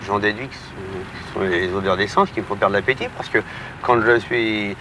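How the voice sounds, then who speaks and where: A man, a taxi driver talking colloquially and haltingly in an interview, repeating his words. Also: lowish voice. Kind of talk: spontaneous street interview. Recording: 1960s archive, clear traffic noise. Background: street and vehicle noise.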